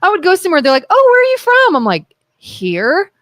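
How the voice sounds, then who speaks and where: A woman's voice: very high voice, brisk, dry recording, studio quality. A woman from Ohio co-hosting a casual radio talk show, telling stories and acting out the voices in them. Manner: animated storyteller who acts out the voices in her anecdotes. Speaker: co-host. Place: radio studio.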